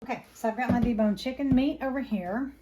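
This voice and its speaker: A woman, hosting a home cooking lesson with a chatty step-by-step manner; her voice is raspy from allergies and she breathes heavily. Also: a little high, brisk, warm tone. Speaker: home cooking channel host. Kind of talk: casual cooking demonstration. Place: home kitchen.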